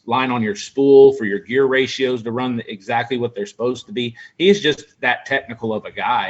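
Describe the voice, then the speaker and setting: A man's voice: mid-range voice, muffled recording. A man in his forties, a pro angler guest, chatting casually on a video call, rambling with lots of fillers. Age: 40s. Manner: rambling, friendly, full of fillers. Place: room in a house. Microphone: video call webcam.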